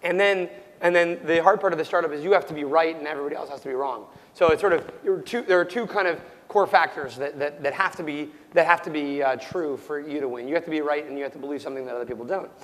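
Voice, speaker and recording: A young man whose voice is going hoarse and cracks now and then, answering interview questions at near-shouting volume and restarting his sentences. His voice sits a little high for a man. The recording carries a long, lingering reverb. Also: fast, hardly any pauses. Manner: loud, nearly yelling, full of ums and restarts. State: losing his voice, prone to cracking. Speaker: startup founder being interviewed.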